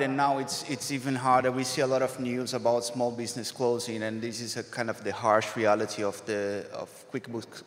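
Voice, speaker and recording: A man in his thirties, a non-native English speaker from South America, explaining calmly at a conference as a creative director. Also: medium pitch. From Brazil or Argentina. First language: Spanish or Portuguese. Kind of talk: conference talk. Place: stage in a large hall. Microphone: stand mic. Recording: reverberant hall.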